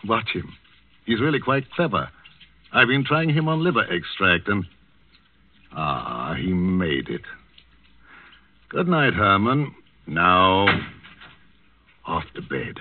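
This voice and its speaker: A man's voice: deep voice, sing-song, slow pace, long pauses, narrow, tinny audio, slight background noise, exaggerated voice. A man acting an urbane, unflappable psychiatrist with a sardonic, slyly defiant manner in a 1940s radio drama. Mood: genial, distracted. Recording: thin, band-limited old radio recording.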